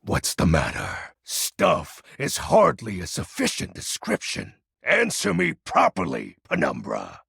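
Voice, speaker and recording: A man playing a melodramatic, brooding lord of darkness in a video game, with a raspy, gravelly voice and grandiose delivery. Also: fairly low pitch, wildly swooping pitch, unhurried, a bit bright, no echo, studio quality, exaggerated voice. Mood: smug, teasing. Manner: grandiose, archaic phrasing with sudden casual asides. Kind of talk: theatrical video game voice acting.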